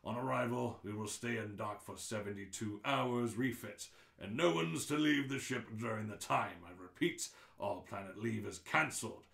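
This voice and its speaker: Man voicing alien starship captain, low pitch, officious, spiteful, curt announcement, radio play, echoey room. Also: moderate pace, creaky, fairly bright tone. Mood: menacing, officious. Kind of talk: amateur radio play reading. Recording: roomy, echoing live-stream audio.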